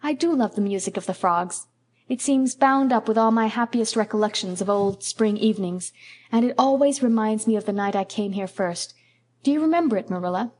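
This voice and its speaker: A woman voicing a dreamy, imaginative young woman who speaks warmly and poetically. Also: medium pitch, lively intonation, brisk, natural voice. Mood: wistful.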